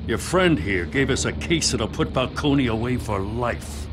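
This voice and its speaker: Man playing a firm, steady police lieutenant. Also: medium pitch, a little slow, close to an everyday voice. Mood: satisfied, firm. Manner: matter-of-fact, firm. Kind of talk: video game voice acting. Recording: music behind the voice.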